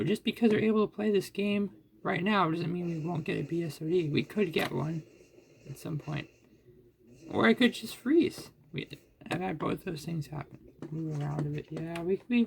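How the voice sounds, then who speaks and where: A man's voice: a little high, vocal fry, slight background noise. A man casually narrating his own computer tinkering and gameplay, thinking aloud and often restarting his sentences. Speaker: hardware hobbyist vlogger. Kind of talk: casual self-narrated screen recording.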